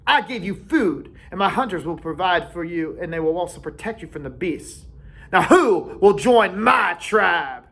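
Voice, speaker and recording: A man's voice: high voice, expressive, moderate pace, gravelly, fairly bright tone, breathy edge, full character voice. A man voicing a defiant, bossy boy who leads a tribe, talking in a taunting, commanding way.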